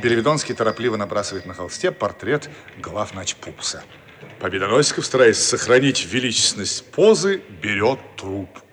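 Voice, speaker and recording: A man's voice: lowish voice, expressive, moderate pace. A man narrating a radio play, reading scripted stage directions. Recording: noisy archival radio recording.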